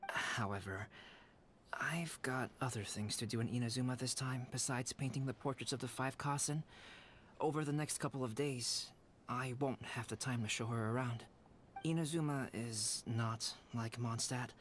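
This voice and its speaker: A man voicing a polite, caring young man in a video game, calm and measured, with gentle formal phrasing. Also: medium pitch, a little hiss or hum, natural voice. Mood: calm, courteous.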